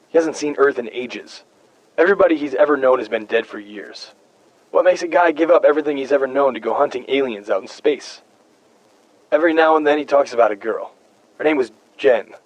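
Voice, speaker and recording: An actor playing a weary, haunted human survivor stranded for decades on an alien planet, speaking in a brooding, hesitant, confiding way, as in a video game audio log. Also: male voice, mid-range voice, close to an everyday voice, low-quality recording. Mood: somber, reflective.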